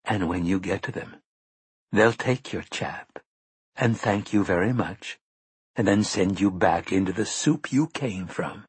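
A blunt, hard-pressing woman, voiced by a man in a low, husky register close to his own. She asks tough questions without letting up. It comes across as an audiobook recorded dry and near. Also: lively intonation, normal speed, a bit bright, no room sound. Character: tough, probing, determined woman.

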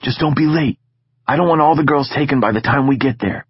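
A man's voice: mid-range voice, brisk, slightly rough, muffled recording, pitched above the performer's own voice, close to an everyday voice. A man voicing a brash, teasing teenage boy who keeps pushing his friend, in an audiobook reading. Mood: pushy eager.

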